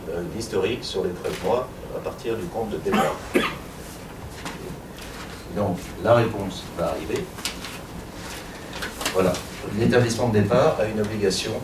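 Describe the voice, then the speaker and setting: Man presenting in an echoey room. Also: on the low side. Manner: explanatory, many fillers, light asides. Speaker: workshop presenter. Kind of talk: technical workshop presentation. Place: conference room. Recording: echoey room from far off, audible noise.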